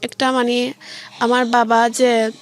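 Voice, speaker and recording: Female interview guest: high-pitched, halting delivery, recounting personal experience. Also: flat delivery. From Sylhet region, Bangladesh. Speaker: guest telling own life story.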